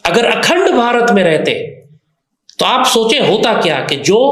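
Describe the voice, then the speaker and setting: A man with a Pakistani accent delivering an impassioned political monologue in an emphatic, oratorical way. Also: high voice. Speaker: political commentator. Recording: roomy, echoing sound.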